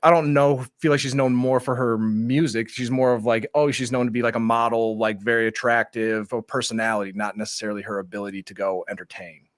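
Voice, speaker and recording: A man filling in as host of a radio talk show, relaxed and conversational, with quick asides. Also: mid-range voice, no echo, studio quality. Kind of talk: casual radio chat.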